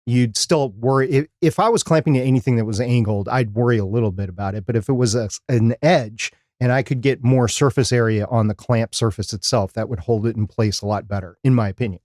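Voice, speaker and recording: A man co-hosting a podcast, thinking aloud about technical details in a measured, hedging, conversational way. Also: medium pitch, a little mellow, dry recording, clean studio sound. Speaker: podcast co-host. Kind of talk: casual technical chat.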